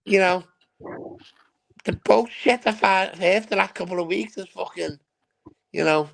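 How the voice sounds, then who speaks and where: A man's voice: sits high, measured pace, gravelly, a bit bright. A man grumbling in casual chat about people complaining. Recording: noisy background hiss.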